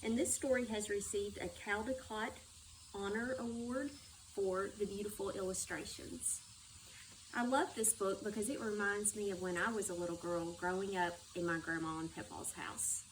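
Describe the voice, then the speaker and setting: A woman's voice: mid-range voice, steady tone, moderate pace. Distant woman reading a storybook. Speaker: school superintendent reading a children's book. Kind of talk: read-aloud children's storytelling. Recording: distant microphone with background noise.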